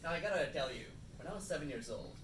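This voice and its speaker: A man's voice: a little high, expressive, quick pace. Distant, echoey man giving a public talk. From India and Singapore. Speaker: public speaker. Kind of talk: storytelling public speech to a live audience. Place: indoor room with an audience, recorded from off. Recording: distant mic in echoey, noisy room.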